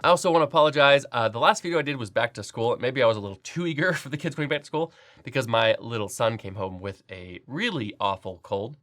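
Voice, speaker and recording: A man's voice: medium pitch, expressive, fast, a bit bright. A man hosting a casual product review while congested with a cold, his voice nasal, chatty and loose with fillers and restarts. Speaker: 3D printing channel host.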